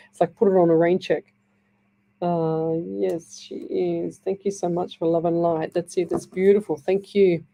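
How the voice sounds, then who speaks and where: Australian woman radio host, low mellow voice, throat dry and scratchy, rambling chatty delivery. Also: level tone, unhurried. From Northern Ireland. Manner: chatty, rambling, warm-hearted reassurance. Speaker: radio psychic medium host. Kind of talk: live radio chat reading messages. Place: radio studio.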